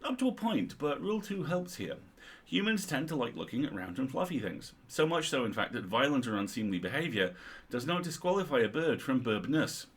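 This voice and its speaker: A man's voice: medium pitch, very animated, a little fast, slightly rough. A middle-aged man co-hosting a livestream, reading a humorous article aloud with wry, playful and sweary asides.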